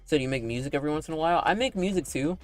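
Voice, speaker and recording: A man chatting casually on a livestream while playing a game, with a slightly raspy, deepened broadcast voice and a rambling manner full of likes and tag questions. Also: expressive, racing, soft background music. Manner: talking in a put-on broadcast voice. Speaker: animator and streamer.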